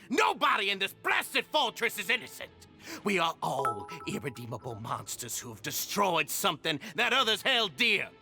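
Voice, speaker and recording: An actor playing a fanatical, resentful prison gang boss in a video game, sneering and theatrical. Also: male voice, sits high, vocal fry, full character voice. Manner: theatrical, sneering, prone to shouting. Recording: game audio with music behind.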